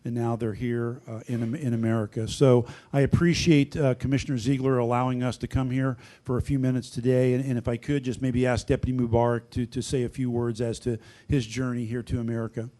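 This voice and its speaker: A man serving as sheriff, giving steady, earnest remarks at a public meeting with frequent "uh" fillers. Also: medium pitch, brisk. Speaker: county sheriff. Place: county commission chamber.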